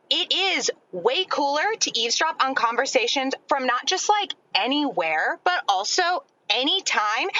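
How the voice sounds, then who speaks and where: A nosy, petulant young woman voiced by an actress delivers a casual, defensive comic testimonial. Her voice is high and sharp, with big swoops of intonation. The recording is a little dull. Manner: casual, snippy, defensive, full of "like". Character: petulant, nosy young Californian female customer.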